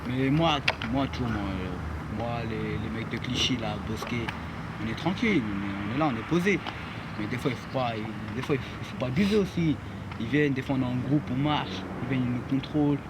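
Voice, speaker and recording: A young man interviewed outdoors on a city street, talking in a slangy, halting way and repeating himself. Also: mid-range voice. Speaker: local youth interviewed on the street. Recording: loud street traffic behind.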